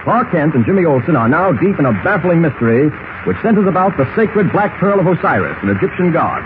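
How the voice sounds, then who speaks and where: A man's voice: on the high side, very animated, quick pace. A man with an American accent delivering dramatic scripted narration as a 1940s radio serial announcer. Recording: tinny, noisy old radio recording.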